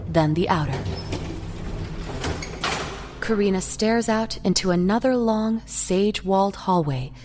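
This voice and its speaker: A woman's voice: mid-range voice, a little mellow, slight background noise. A woman reading scripted narration for an audio drama.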